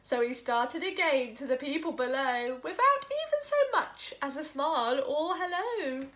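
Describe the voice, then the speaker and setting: A woman's voice: high voice, animated, unhurried, fairly bright tone. A woman storyteller reading a rhyming children's story expressively.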